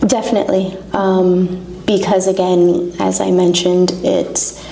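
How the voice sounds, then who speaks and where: A woman from Canada being interviewed as a guest, speaking in short, halting phrases. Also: lowish voice, flat delivery, creaky, warm tone. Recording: echoey room with faint noise.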